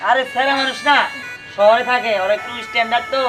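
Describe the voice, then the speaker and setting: A man's voice: very high voice, fairly even intonation, quick pace. A young man playing an exasperated groom in a comic short film, explaining himself defensively in a Bangladeshi accent. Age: young adult. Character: exasperated young village groom defending his city bride. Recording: music behind.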